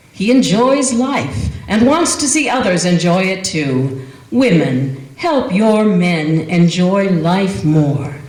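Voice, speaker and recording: A woman's voice: medium pitch, darkish tone. A woman playing a persuasive old-time radio commercial announcer selling cigars. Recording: roomy echo.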